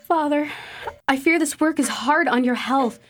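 A woman's voice: high-pitched, unhurried. A woman playing a devoted young daughter, pleading in formal, melodramatic period radio drama style. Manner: imploring, formal melodramatic delivery.

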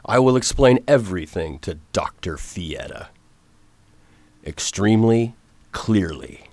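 A man playing a determined, formal Victorian gentleman hero in a radio drama, measured and reassuring. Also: on the low side, lively intonation, no echo. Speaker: narrator. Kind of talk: radio drama acting and narration.

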